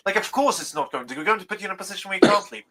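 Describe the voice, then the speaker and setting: A Swedish man with a Swedish accent, talking loosely on a podcast and cursing at every turn. His voice sits a little high and comes out bright and forceful. He trips over himself with restarts but keeps driving on. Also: racing. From Sweden. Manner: swears constantly, animated, rambling with restarts. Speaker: podcast co-host. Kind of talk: casual chat.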